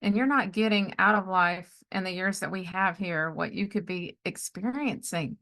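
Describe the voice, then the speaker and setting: A woman in her sixties hosts a relaxed conversational interview. Her voice sits at an average pitch, and she speaks supportively, adding affirmations and personal reflections in everyday language. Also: moderate pace. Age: 60s. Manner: encouraging, responds often with affirmations. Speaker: podcast host, life coach.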